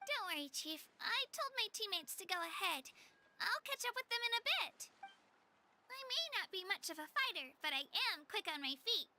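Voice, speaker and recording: Very high, girlish young adventurer. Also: sing-song, normal speed, pushed and bright, slight background noise, exaggerated voice. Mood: eager. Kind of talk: video game voice acting. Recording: music behind the voice.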